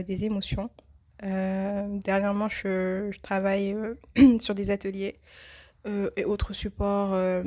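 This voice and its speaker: A woman with a cold hosting a reflective religious podcast, speaking thoughtfully and pausing often with fillers. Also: medium pitch, darkish tone. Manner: hesitant, many filler pauses. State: has a cold. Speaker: podcast host.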